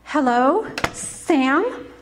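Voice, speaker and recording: A woman's voice: high voice, expressive, drawn-out words, very noisy, heavily acted. An actress playing a teasing young woman in love, speaking coyly in a live amateur radio drama. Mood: bright.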